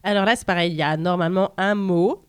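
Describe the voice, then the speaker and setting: A woman's voice: on the low side, quick pace, clear, resonant tone, no room sound. A woman hosting a casual radio music quiz in an informal, playful and self-deprecating way. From France. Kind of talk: casual chat, reading lyrics aloud. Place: radio studio.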